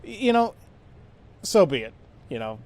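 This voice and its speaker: A man's voice: high voice, big pitch swings, moderate pace, a little mellow. A man doing casual let's play commentary, rambling and reading game text aloud in a skimming way. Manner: rambling, skims text with filler words.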